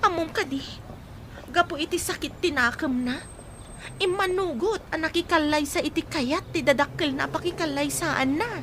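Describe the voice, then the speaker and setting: A chatty young village woman, speaks with an Ilocano accent in a high, sharp voice. She is exclamatory and pushy, nagging like a loyal friend in a radio comedy-drama. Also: moderate pace, a little hiss or hum. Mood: gossipy, earnest.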